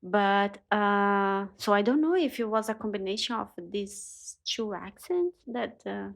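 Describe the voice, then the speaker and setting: Brazilian woman guest, mid-pitched, halting English, laughs a lot. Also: expressive, vocal fry. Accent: Brazilian Portuguese, São Paulo. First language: Portuguese. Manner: halting non-native English, laughs often. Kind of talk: casual chat.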